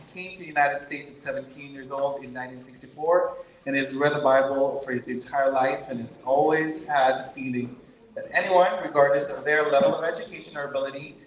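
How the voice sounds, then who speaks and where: Male event host giving a formal introduction; reverberant gallery, slight background noise. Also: fairly high pitch. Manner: reads a prepared introduction. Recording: echoey room with faint noise.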